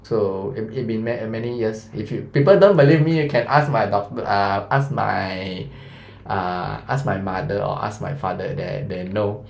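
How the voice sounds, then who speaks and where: A man's voice: on the low side, normal speed, vocal fry, hardly any pauses, reverberant. A man in his thirties with a Malaysian English accent, a science teacher chatting through a revision lesson and quizzing his students. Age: 30s. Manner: chatty, asks students questions, fillers like lah and mah.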